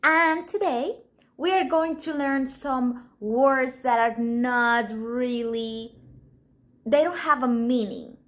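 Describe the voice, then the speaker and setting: A woman with a Colombian accent leads a relaxed Spanish lesson, her first language, explaining everyday expressions in English at an unhurried pace. Her voice sits a little high, and she playfully acts out short example scenes for her viewers. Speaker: Spanish teacher. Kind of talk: casual language lesson to camera.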